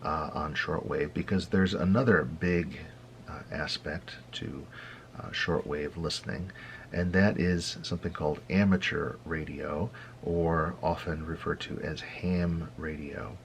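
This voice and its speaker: A low-pitched American man presents an informal explainer in a conversational, slightly halting style. His delivery has a wry edge. Some background noise sits faintly behind the deep voice. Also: steady tone, creaky. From United States. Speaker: host of a hobby radio tutorial.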